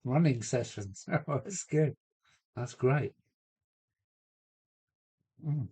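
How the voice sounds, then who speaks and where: Slow-talking man with a cold. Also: medium pitch, animated, mellow, breathy edge. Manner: chatty, replies to viewer comments and tries out halting Spanish phrases. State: has a cold. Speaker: model railway live streamer. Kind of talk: casual live-stream chat with viewers. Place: home loft workshop.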